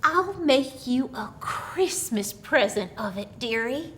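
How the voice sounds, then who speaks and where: Sly old countrywoman, played by a woman narrator: high, slow, coaxing, conspiratorial storybook character with knowing humour. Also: expressive, a bit bright, slight background noise.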